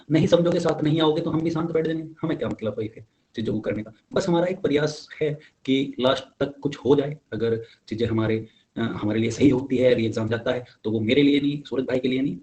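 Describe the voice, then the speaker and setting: A man's voice: mid-range voice, dark tone, poor audio. A young man gives an informal spoken update to his peers, insistent and repetitive as he urges them on. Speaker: exam candidate giving updates to fellow applicants.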